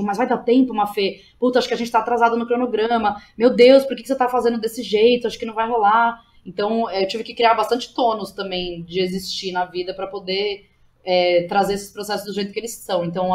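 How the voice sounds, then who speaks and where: A woman with a Brazilian accent talks as a guest in a casual interview. Her pitch sits in the middle range. She speaks informally, with plenty of slang and small tags that check the listener follows. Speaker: interview guest, playwright, director and drama teacher. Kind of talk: casual, animated interview talk.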